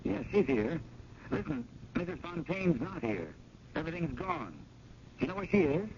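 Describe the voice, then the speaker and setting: An actor playing an earnest, anxious museum curator in a 1970s radio drama, speaking with formal, insistent urgency. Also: male voice, fairly high pitch, big pitch swings, moderate pace, lo-fi sound. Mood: urgent. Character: John, earnest, anxious male museum curator pursuing a painting. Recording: noisy, band-limited 1970s radio broadcast.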